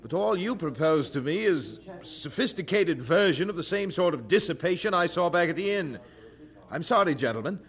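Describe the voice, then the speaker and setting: An actor playing an earnest, principled young student servitor in a radio drama, polite, formal and firm. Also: male voice, on the high side, lively intonation, a little fast, slightly rough. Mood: indignant, firm.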